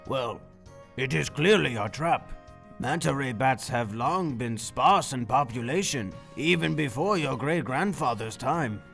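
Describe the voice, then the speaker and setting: A man playing a calm, thoughtful old uncle and retired general, speaking in a measured way in an audio drama. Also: medium pitch, creaky, soft background music. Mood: calm explaining. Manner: measured, musing.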